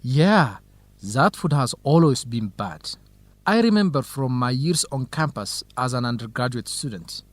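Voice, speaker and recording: An earnest young male student in a radio drama. His pitch moves in lively swings over careful enunciation. He comes across as eager and a little nervous, recorded very dry. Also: unhurried, no echo. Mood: friendly, curious. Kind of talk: educational radio drama dialogue, simple clear English.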